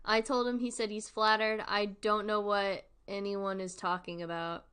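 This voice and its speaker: A woman's voice: mid-range voice, flat delivery, moderate pace, a bit bright, clean tone. A woman hosting a livestream with casual, informal commentary, rambling and thinking aloud as she goes. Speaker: livestream host.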